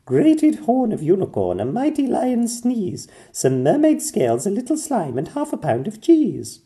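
A man's voice: high-pitched, wildly swooping pitch, unhurried, dark tone, voice pushed up, heavily acted. A man voicing a spirited young girl, a princess who works as a doctor, in a storybook reading. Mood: urgent.

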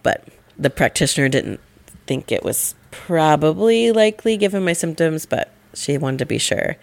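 A woman with a hoarse, gravelly voice, sitting lower than usual because of a respiratory infection. She chats casually as a podcast co-host, rambling and correcting herself mid-sentence. The recording is dry and close, with no room sound. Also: dry recording. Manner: chatty, rambling, self-correcting. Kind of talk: casual chat.

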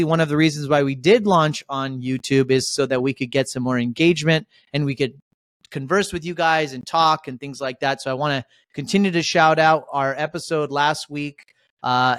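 An upbeat man hosts a casual podcast, his voice smooth and resonant at an ordinary pitch. The sound is dry and close, with no room around it. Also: steady tone, moderate pace, dry recording. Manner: upbeat, rambling, lots of shout-outs. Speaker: podcast host.

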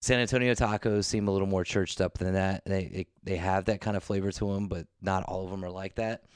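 A man's voice: deep voice, steady tone, brisk, smooth, no room sound, clean studio sound. A man telling laid-back, rambling stories in a casual podcast chat, often restarting his sentences.

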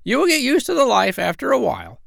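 A man voicing a bossy female parrot who is an old sailor, brisk and know-it-all. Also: very high voice, wildly swooping pitch, vocal fry, fairly bright tone, dry recording, studio quality, voice pushed up, full character voice.

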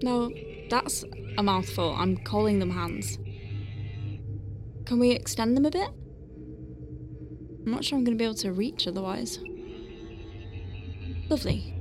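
A woman plays a space medic in a sci-fi audio drama. Her voice is high and expressive, chattering nervously between flustered focus and open wonder. Music plays clearly behind her. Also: measured pace, long pauses, natural voice. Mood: focused, wry. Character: young female space medic Faye, flustered but awestruck, working inside star whale. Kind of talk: audio drama acting with first-person narration.

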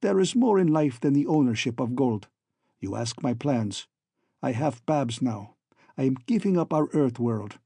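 A man's voice: mid-range voice, expressive, normal speed, gravelly, warm tone, slightly rough, no echo, clean studio sound. A man voicing a falsely friendly, scheming hunchback villain in a story, oily and ironic, with stilted foreign-sounding phrasing. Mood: boastful, smug.

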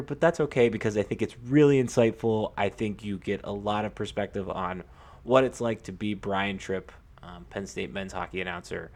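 Low-voiced man in casual sports chat. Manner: halting, informal, repeats and restarts phrases. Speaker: podcast co-host.

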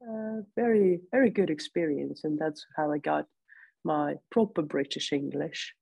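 Woman, gravelly voice, hesitant with restarts, interview guest. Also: low-pitched, a little slow, mellow, no echo, rough recording. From Ukraine. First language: Russian. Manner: hesitant, many restarts and fillers. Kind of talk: interview conversation.

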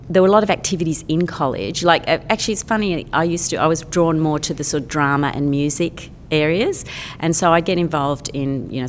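Chatty woman, low voice. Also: big-room sound, a little hiss or hum. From Australia. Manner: chatty, enthusiastic storyteller with many fillers. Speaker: podcast guest, painter and former lawyer. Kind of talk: casual interview chat.

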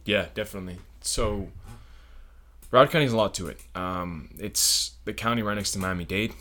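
A man's voice: on the low side, normal speed, bright, forceful tone. A young man from South Florida, a student guest on a casual podcast, speaking thoughtfully with many hesitations and ums. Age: young adult.

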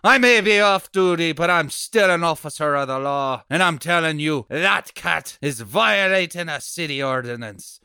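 A man's voice: fairly high pitch, normal speed, few pauses, pushed and bright, smooth, dry recording, clean studio sound. A man playing a nosy, chatty, stubborn off-duty policeman neighbor in a table read of an old radio horror play, with folksy, blustery speech. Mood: blustery, defiant.

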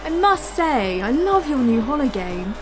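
A decadent, gushing corporate president, voiced by a woman who drawls every word theatrically. Her voice sits high and swings widely in pitch. Music plays under the scene. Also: very animated, measured pace. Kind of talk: audio drama acting.

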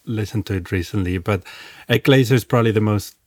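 Brief: male podcast host, lowish voice, dry close sound, stops and restarts a lot. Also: a little mellow, no room sound. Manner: halting, repeats words as he restarts. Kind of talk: casual podcast chat.

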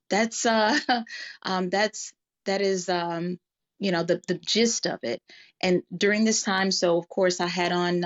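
A woman with a fairly low voice talks in an earnest, reflective way, as a guest in a group discussion. She checks in with her listeners as she explains. The audio is dull and lo-fi.

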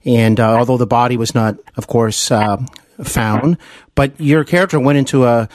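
A man's voice: mid-range voice, moderate pace, a little mellow, dry recording. A man hosting a conversational interview, admiring and meandering in how he talks. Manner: admiring, long-winded, meandering. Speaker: podcast host, interviewer.

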